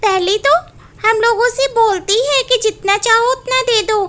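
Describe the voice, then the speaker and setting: A woman's voice: very high-pitched, a little slow, a bit bright. A woman voicing a fussy, talkative male parrot in a cartoon story, who talks in a chatty, grumbling way. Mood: indignant.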